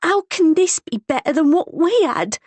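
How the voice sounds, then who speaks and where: A woman voicing a fierce, sharp-tongued young working-class wife who swears as she scolds. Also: sits high, animated, moderate pace, no echo, voice pushed up. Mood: angry.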